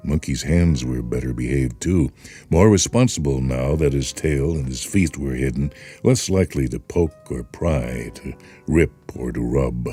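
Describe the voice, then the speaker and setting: A man reading a story aloud in a measured, expressive way. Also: a bass voice, husky. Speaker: narrator. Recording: music bed under the voice.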